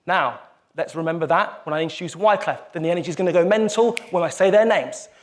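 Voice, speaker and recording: Male host, high voice, hyping up the room from the stage. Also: fast. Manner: energetic, emphatic build-ups. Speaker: event host. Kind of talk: stage presenting. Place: stage at a live event.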